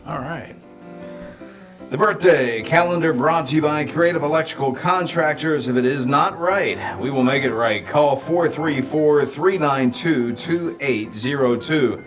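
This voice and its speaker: A man's voice: a little high, unhurried. A man hosting a casual local radio show, announcing and chatting in a playful, teasing way from a studio. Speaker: radio host. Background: music bed.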